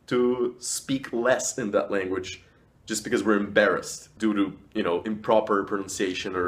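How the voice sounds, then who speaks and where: A man talking casually as a vlogger giving advice, emphatically stressing and stretching key words. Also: mid-range voice. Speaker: language vlogger. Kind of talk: casual advice talk to camera.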